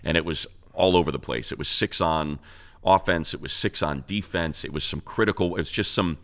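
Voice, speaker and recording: Deep-voiced American man talking sports. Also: fairly quick, fairly bright tone. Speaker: sports show co-host and radio play-by-play announcer. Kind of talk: casual sports talk and game analysis.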